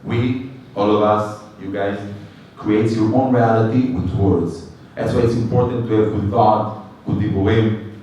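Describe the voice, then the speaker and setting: A man teaches Torah to a live group, animated and quick to joke. His voice rings through a large, very echoey hall. It is picked up from some distance away, with faint room hum behind him. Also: fairly low pitch. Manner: animated, jokes with audience, breaks into short chanted tunes. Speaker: rabbi giving a weekly class. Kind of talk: informal Torah class.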